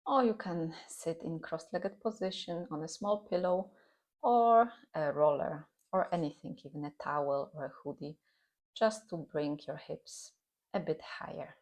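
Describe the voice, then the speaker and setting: A woman teaching guided meditation and gentle yoga in a calm, soothing, instructional manner. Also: low-pitched.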